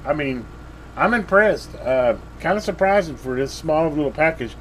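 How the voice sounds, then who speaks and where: A man's voice: a little high, lively intonation, normal speed, fairly bright tone, slight background noise, poor audio. A man casually reviewing a radio at his workbench, rambling with lots of fillers and restarts.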